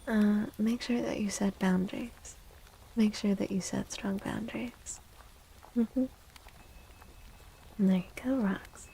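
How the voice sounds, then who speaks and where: A woman hosting a soft-spoken ASMR livestream and reading tarot, gentle and reassuring, often slipping into a whisper. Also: medium pitch, steady tone, slow pace, creaky, pauses often, a little hiss or hum, low-quality recording. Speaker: livestream host and tarot reader.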